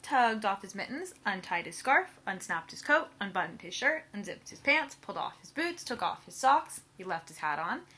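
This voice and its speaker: A woman reading a children's picture book aloud as an expressive storyteller, with playful, emphatic sound words. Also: mid-range voice, moderate pace, hardly any pauses, breathy edge.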